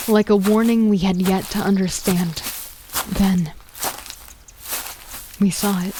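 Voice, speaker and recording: Woman narrating horror, measured and grave. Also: mid-range voice, darkish tone, slight background noise. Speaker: narrator and lead character. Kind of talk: first-person horror narration.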